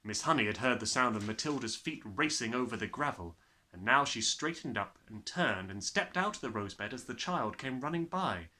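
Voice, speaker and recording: A man reading a children's story aloud as an expressive narrator. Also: medium pitch, moderate pace. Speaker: audiobook reader.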